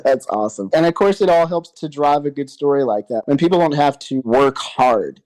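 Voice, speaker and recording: A man's voice: fairly high pitch, animated, brisk, darkish tone. A man talking as an interview guest, explaining audio production with emphasis. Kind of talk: interview talk.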